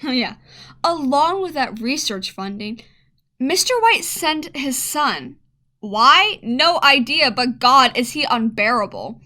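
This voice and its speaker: A sharp, fairly high female voice played by an actress in an audio drama. She is a weary, obsessive lead scientist of forty. She dictates her log bluntly, with exasperated muttered asides. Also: animated, slow pace, full character voice. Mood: wry, exasperated. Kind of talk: radio play audio log monologue.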